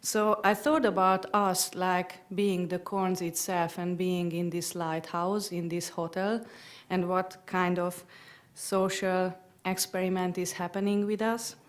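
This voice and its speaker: A woman with a Hungarian accent, speaking in a somewhat low voice. She is a visiting writer who talks about her stay and then reads her own poem at a library event, animated and laughing now and then. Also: unhurried. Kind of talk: poetry reading. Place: public library event room.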